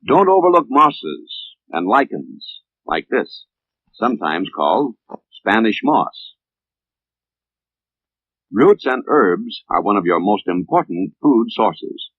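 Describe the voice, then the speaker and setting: A man's voice: on the low side, sing-song, slow, dry recording. Tinny 1950s American male film narrator. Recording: tinny, band-limited 1950s film soundtrack.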